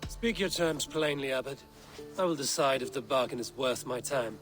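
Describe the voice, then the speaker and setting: An actor playing a blunt, wary Viking warrior in a video game, talking in terse, stern, impatient lines. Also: male voice, mid-range voice, normal speed, fairly bright tone, faint music behind, natural voice. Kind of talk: video game drama acting.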